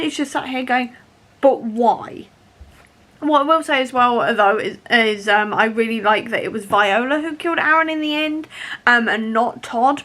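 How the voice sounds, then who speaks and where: A woman talking casually as a book vlogger, in an animated, emphatic way, repeating key phrases for stress. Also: a little high. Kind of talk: casual reading vlog chat.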